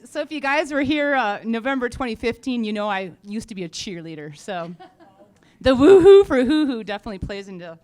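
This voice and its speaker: A woman's voice: fairly high pitch, animated, brisk, a little mellow, slight background noise. A woman company founder giving an informal update on stage, speaking in a frank, humorous, chatty way. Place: on stage at a live event.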